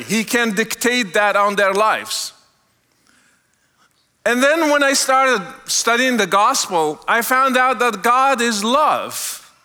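A middle-aged man from Morocco, sharing an earnest testimony sermon as a guest speaker, slowing down to stress key words. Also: extremely high pitch, pushed and bright. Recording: long hall reverb.

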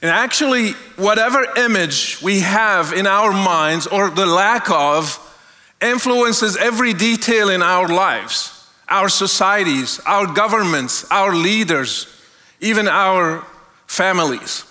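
A high-voiced middle-aged man delivers an earnest sermon. His tone is bright and forward, and he lingers on key words for emphasis. A long reverb trails his voice across a large hall. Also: expressive, slow pace. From Morocco. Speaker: guest speaker. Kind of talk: testimony sermon.

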